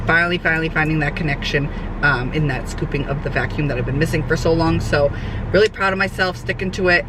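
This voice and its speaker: A young woman, a fitness trainer, chats casually in a vlog update, her voice hoarse and nasal from a cold. She rambles with lots of fillers. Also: sits low. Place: sitting outdoors, traffic nearby. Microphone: camera mic. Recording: outdoor camera audio with traffic noise.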